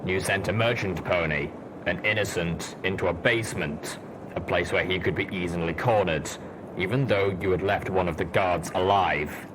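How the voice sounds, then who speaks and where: A man voicing a grave, blunt ghoul pony soldier in a storybook reading, speaking slowly and solemnly. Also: low-pitched, background noise, natural voice. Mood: stern. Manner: slow, solemn.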